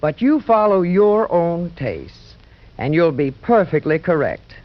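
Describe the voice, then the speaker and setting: A woman with a genteel, measured manner giving a 1940s radio testimonial as a hostess. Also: on the low side, sing-song. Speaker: celebrity hostess giving sponsor advice. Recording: dull 1940s radio recording.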